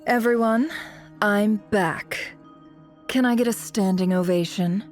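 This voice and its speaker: A woman's voice: medium pitch, a little slow, soft background music, close to an everyday voice. An actress voicing a proud, lazy, sardonic young woman boss in a video game, with an arch and teasing delivery. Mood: smug. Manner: arch, teasing, self-satisfied. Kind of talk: English video game dub acting.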